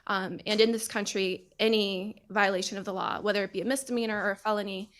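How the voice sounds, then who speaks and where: A woman in her thirties opens a panel discussion with a personal story. She talks earnestly and at a measured pace, with frequent hesitations. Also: mid-range voice, steady tone, a bit bright. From United States. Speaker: panel moderator.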